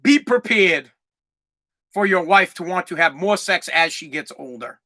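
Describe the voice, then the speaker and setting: A man in his forties hosting a comedic solo podcast, ranting in a profane, rambling way with lots of stammered restarts and bursts of shouting. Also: high-pitched, expressive, measured pace. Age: 40s. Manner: exaggerated, swings into loud shouting. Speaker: podcast host.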